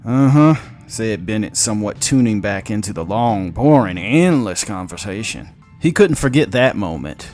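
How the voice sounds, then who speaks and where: A man narrating a sci-fi story in a casual, profane storytelling manner. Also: fairly low pitch. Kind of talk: audiobook-style story narration.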